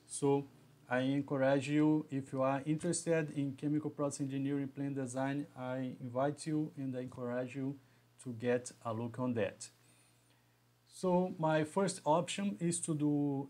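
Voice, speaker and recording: A man in his thirties with a Brazilian Portuguese accent, speaking in a middle-pitched voice. He talks to live viewers slowly and haltingly, often repeating a word before going on. He sits at a webcam in a small room at home. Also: darkish tone. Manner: halting, with frequent restarts and repeated words. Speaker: engineering educator hosting a live session. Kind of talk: live stream talk to viewers.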